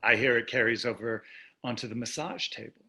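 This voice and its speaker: An actor in a staged play reading, playing a wealthy older husband who is dry, teasing and condescending. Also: male voice, mid-range voice, fairly even intonation, fairly quick, pushed and bright. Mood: dryly teasing. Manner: dry, needling, condescending endearments.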